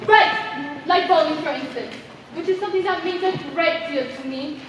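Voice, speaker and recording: A woman acting in a stage play as a dreamy, timid ward inmate who hears voices, halting and spacey. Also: high voice, a bit bright. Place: theater stage, far from the mic. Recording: echoey noisy hall from far off.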